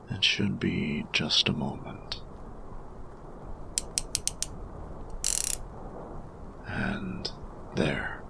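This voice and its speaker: A man playing a grumpy, reserved steampunk artificer in an audio roleplay, speaking formally and deliberately in a gravelly, breathy murmur. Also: very deep voice, background noise, full character voice. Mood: focused, gentle. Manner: formal, measured, deliberate phrasing. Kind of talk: scripted audio roleplay addressed to the listener.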